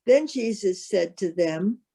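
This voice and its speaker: A woman reading scripture as narrator, in a measured, formal way. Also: mid-range voice, expressive, gravelly, dark tone, breathy edge.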